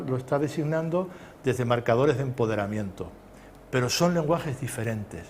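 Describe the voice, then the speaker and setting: Guy lecturing, lots of ehs and restarts, mid voice, bit of hiss. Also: medium pitch. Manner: hesitant, many fillers and restarts. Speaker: conference speaker. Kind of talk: lecture and Q&A answers.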